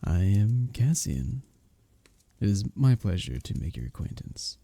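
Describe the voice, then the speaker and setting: A very dark, velvety male innkeeper from a medieval fantasy roleplay, acted out by a man, speaking slowly and intimately. He is courtly and teasing, with flowery phrasing and a soft, breathy voice that swings expressively in pitch. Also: big pitch swings, slight background noise. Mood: courteous, warm. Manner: flirtatious, courtly, soft chuckles between phrases. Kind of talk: intimate ASMR roleplay with flowery old-fashioned speech.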